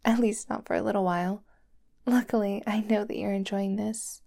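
A woman's voice: medium pitch, fairly even intonation, normal speed, gravelly, close to an everyday voice. A woman playing a whispery possessive girlfriend. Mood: soothing. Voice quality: soft and whispery. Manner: sweet, clingy, coaxing. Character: doting possessive young woman holding a lover captive. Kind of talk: roleplay audio drama to the listener.

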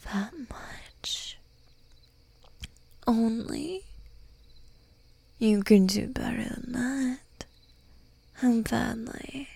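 A woman whispering close to the mic in an adult roleplay, dominant and teasing, giving commands in a breathy whisper. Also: fairly high pitch, very slow, long pauses, mellow, a little hiss or hum.

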